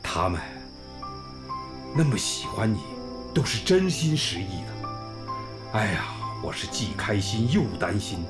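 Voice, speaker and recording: A man's voice: on the low side, big pitch swings, a little slow, pauses often. An actor playing a kindly old village school principal in a radio drama, effusive and homely, sighing and exclaiming as he talks. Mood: fond, animated. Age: older, around sixties. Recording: music bed under the voice.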